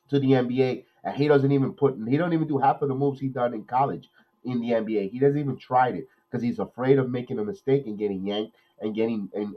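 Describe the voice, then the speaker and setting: A man, nasal from a cold, hosting a watch-along podcast, calling plays with excitement and then rambling through his opinions. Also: medium pitch, muffled recording. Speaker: podcast host doing live play-by-play. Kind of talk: watch-along game commentary and chat.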